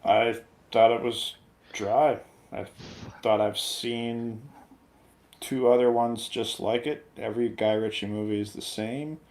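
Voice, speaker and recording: A man giving casual opinions as a guest reviewer, in a halting, reflective way. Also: mid-range voice, steady tone, a little mellow. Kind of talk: casual opinionated film chat.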